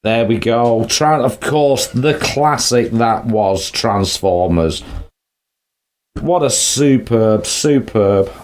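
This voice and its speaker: A man's voice: mid-range voice, slow pace, darkish tone. A man from Wales hosting a casual live-stream chat, joking with his guest and greeting viewers. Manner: chatty, jokey, greets viewers. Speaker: host.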